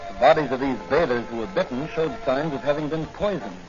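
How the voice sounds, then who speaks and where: A man's voice: mid-range voice, brisk, music in the background, close to an everyday voice. An actor playing an easygoing, confident young policeman hero in a 1940s radio drama, with a breezy, wry manner. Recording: dull old radio recording.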